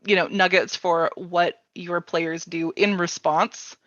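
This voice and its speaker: A woman's voice: lowish voice, fairly even intonation, slow pace, a bit bright, no room sound, muffled recording. A woman hosting a casual workshop chat about tabletop gaming, talking informally and thinking aloud as she goes. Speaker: workshop host taking chat questions.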